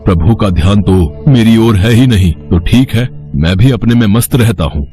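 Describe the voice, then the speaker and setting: A man's voice: fairly low pitch, expressive, normal speed, dark tone, soft background music, lightly acted. A man voicing a loyal mouse servant with a deferential, explanatory way of talking. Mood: resigned. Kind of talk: animated devotional story dialogue.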